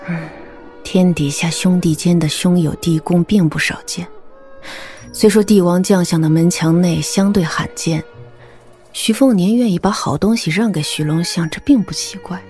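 An actress playing a proud, cold, scornful martial-arts master in a Mandarin audio drama, with a haughty, disdainful delivery. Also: female voice, fairly low pitch, normal speed, warm tone, faint music behind, close to an everyday voice. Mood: grave disbelief.

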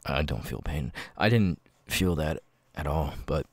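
A man acts out a nervous, socially awkward young man for an ASMR audio roleplay. His voice is extremely deep and slow, breaking into stammers and tics. The sound is dry, with no room around it. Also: expressive, darkish tone, no room sound, exaggerated voice. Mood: nervous, apologetic. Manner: halting, stammering, sudden blurted tics.

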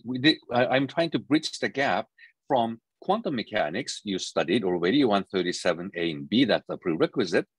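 A man's voice: on the low side, slightly rough, dry recording, rough recording. A middle-aged man from Japan giving a university physics lecture over a video call, talking with many fillers and restarts. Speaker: physics professor.

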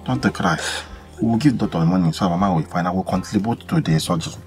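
An actor with a Nigerian accent playing a doting, soft-hearted boyfriend in a film drama, coaxing and repeating himself. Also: male voice, deep voice, fast, faint music behind, close to an everyday voice. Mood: soothing, pleading. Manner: coaxing, repetitive, punctuated with "eh". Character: doting, soft-hearted boyfriend soothing his crying woman.

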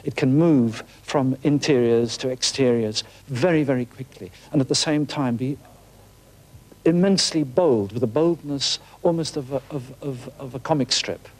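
A man's voice: medium pitch, normal speed. A middle-aged man, a theatre director, reflecting in an interview with literary phrasing, searching for words. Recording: faint background noise.

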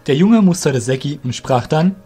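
A man's voice: a little high, animated, normal speed, warm tone. A male narrator tells a fantasy story in scripted audio drama style. Faint music plays behind his voice.

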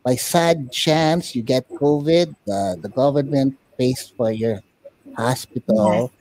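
A man with a Filipino accent hosting a talk show interview in a playful, expressive, emphatic way. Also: mid-range voice, slow pace, low-quality recording. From Philippines. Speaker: puppet show host.

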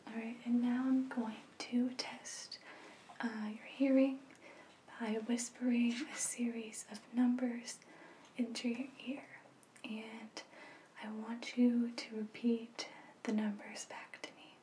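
A woman in her twenties performs a whispered ASMR roleplay as a gentle medical examiner. Her delivery is very slow and soothing, with a mellow, soft tone. The small room adds a noticeable roominess to the sound. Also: a little high, little pitch movement, echoey room, distant mic, a little hiss or hum, low-quality recording. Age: 20s. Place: small plain indoor room.

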